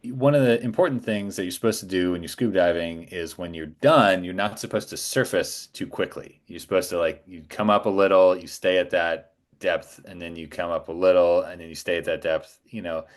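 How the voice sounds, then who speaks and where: A man in his forties chatting casually as a podcast guest, thoughtful and a little halting as he phrases things. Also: low voice. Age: 40s. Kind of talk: casual chat.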